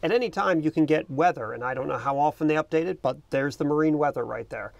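A man's voice: on the high side, quick pace, vocal fry, no room sound, lo-fi sound. A middle-aged American man explaining casually and informally, as a vlog host recording outdoors on a small boat. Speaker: boating video host.

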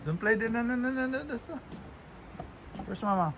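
A man films a walk outdoors and speaks off camera to his young child in short, loud cautioning calls. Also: very high-pitched, expressive, normal speed. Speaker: father filming a walking tour vlog. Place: outdoors at a riverside campsite. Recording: noisy outdoor phone recording.

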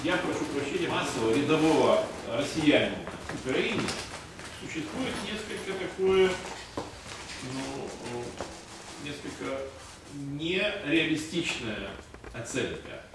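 An older male sociologist at a roundtable in a conference room, lecturing with hesitant pauses. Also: a little high, sing-song. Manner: lecturing, hesitant with many "э" fillers, shows charts. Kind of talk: panel discussion. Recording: echoey room from far off, noisy.

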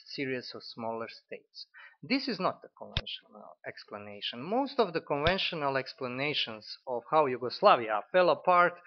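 A man in his forties from Croatia with a Croatian accent, critiquing an article in halting speech with many fillers and restarts. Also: mid-range voice, slight background noise. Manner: hesitant, frequent fillers and restarts. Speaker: commentator. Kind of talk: unscripted spoken critique. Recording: dull lo-fi audio.

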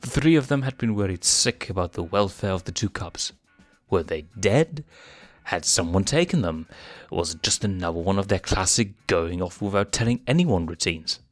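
A man reading fan fiction aloud in a steady storybook narration. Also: deep voice, moderate pace, no echo, faint music behind.

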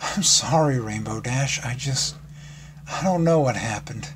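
A man voicing a timid, sorrowful young female pegasus pony in a story, soft, shaky, hesitant and apologetic. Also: on the high side, animated, a little slow. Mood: tearful, apologetic. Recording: clearly noisy background.

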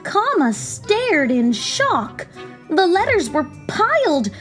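Slow, high-pitched woman reading a storybook. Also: very animated. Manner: theatrical, deliberate. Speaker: children's read-aloud host. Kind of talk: expressive storybook narration. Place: small indoor room. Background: music.

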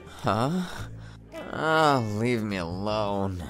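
A man playing a surly, bitter drunken sailor in a noir radio drama, slow and grumbling. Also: fairly low pitch, expressive, a bit bright, faint music behind, heavily acted. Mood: groggy, annoyed.

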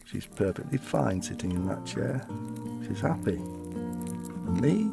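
An actor playing an elderly widower in an audio play, reminiscing wistfully about his wife in a halting, conversational, reflective way. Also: fairly low pitch, sing-song, vocal fry, loud music behind the voice, full character voice. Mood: defensive, fragile. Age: older.

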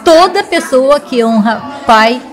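A woman's voice: high-pitched, lively intonation, slow pace. A middle-aged businesswoman from Rio with a Carioca accent, speaking earnestly as a guest in a small studio. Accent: Carioca Brazilian Portuguese. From Rio de Janeiro, Brazil. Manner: earnest, forthright. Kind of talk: casual interview chat. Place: small studio in front of a logo wall. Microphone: studio mic on boom arm.

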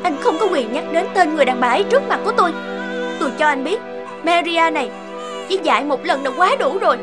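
A woman's voice: high voice, lively intonation, fast talker, over music. A woman playing a proud, hurt young mother in an emotional cartoon melodrama. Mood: bitter, angry.

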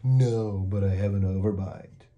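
A man voicing a mild, bookish, polite male storybook monster with a breathy voice. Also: fairly low pitch, fairly even intonation, unhurried, warm tone, muffled recording, lightly acted. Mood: earnest. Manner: polite, formal, meek.

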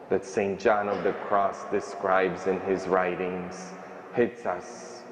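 A man in his thirties preaching a sermon as a priest, slow and emphatic, in a large stone church at a stand microphone. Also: on the low side, a little hiss or hum. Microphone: stand mic at ambo. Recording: echoey church, distant dull sound.

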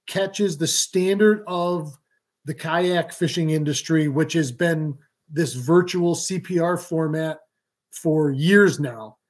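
A man's voice: fairly high pitch, slow pace. A man, hosting a live fishing stream from a small room at home, explaining things casually with lots of ums and restarts. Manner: talks with his hands, many ums and restarts. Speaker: fishing show host. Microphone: webcam or laptop mic, video call framing.

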